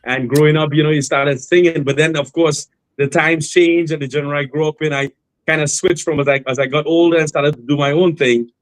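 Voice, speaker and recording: A man, a guest singer on a remote call, telling his story in a relaxed, rambling way with many fillers and restarts. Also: on the high side, fairly quick, dry recording, low-quality recording. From Trinidad and Tobago. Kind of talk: casual interview storytelling.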